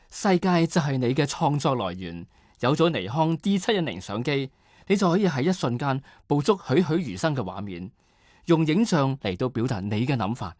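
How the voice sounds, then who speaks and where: Male, Hong Kong Cantonese accent, commercial narration, expressive pitch, dry close sound. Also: no room sound. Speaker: voice-over artist. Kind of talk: scripted commercial narration demo.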